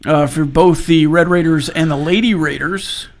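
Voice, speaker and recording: Higher-voiced man, animated sports banter. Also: normal speed. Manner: animated, rattles off schedules, banter. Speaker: sports talk co-host. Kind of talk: casual sports chat.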